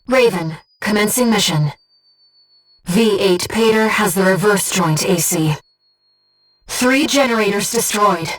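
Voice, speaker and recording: A woman playing an earnest, devoted young female spirit guide in a video game, speaking with composed, gentle precision as a mission operator. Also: mid-range voice, slightly rough, distant mic, poor audio. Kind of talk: scripted video game voice acting.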